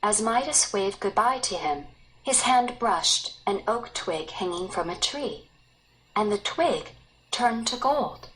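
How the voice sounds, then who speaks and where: Female storyteller, unhurried and expressive scripted read-aloud, mid-range pitch. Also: rough recording. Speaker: story narrator.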